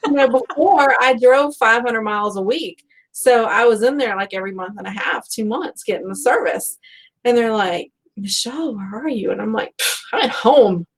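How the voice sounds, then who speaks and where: A woman's voice: a little high, moderate pace, slightly rough. A woman hosting a casual book chat, rambling along in a conversational, self-deprecating way. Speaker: host of a book fan live show.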